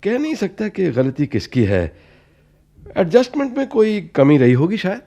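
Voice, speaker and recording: An actor in a radio play playing a middle-aged, avuncular and self-absorbed theatre director, deliberate and mixing English words into his speech. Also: sits high, huge pitch swings, dark tone, exaggerated voice. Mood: resigned, defensive.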